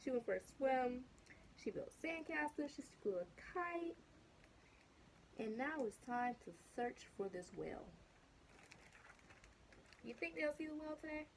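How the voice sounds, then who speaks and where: A woman reads a picture book aloud for children at a very leisurely pace. Her voice sits a bit high, and she chats to her young listeners with casual questions and asides. There is slight noise in the background. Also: expressive, a little slow, vocal fry, long pauses, low-quality recording. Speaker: storybook reader.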